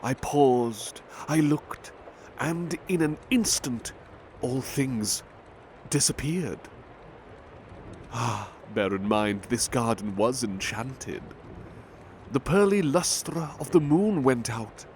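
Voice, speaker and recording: A man reading poems aloud in a soft, lingering and expressive way, as a butler in an ASMR roleplay. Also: mid-range voice, slow pace, slight background noise. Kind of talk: slow ASMR poetry reading.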